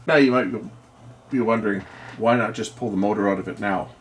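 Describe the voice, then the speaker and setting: A middle-aged or older man giving casual, unscripted repair commentary, rambling and halting as he thinks aloud. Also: on the low side. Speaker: electronics repair video host.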